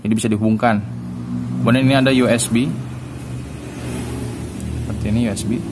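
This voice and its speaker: A man giving a casual hands-on unboxing review, informal and halting as he searches for words. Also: medium pitch, steady tone, noisy background. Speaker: product reviewer.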